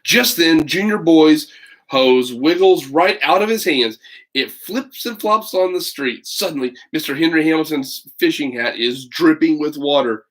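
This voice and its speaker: A man's voice: on the high side, animated, measured pace, fairly bright tone. A man reading a children's picture book aloud, breaking off for casual, folksy asides to his listeners. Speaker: read-aloud storyteller.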